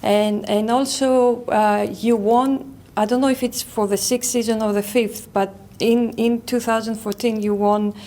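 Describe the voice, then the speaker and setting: Woman TV interviewer, raised pitch, creaky edge, halting repeated words. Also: steady tone, dark tone. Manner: halting, repeats words while forming questions. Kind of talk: interview questions in English.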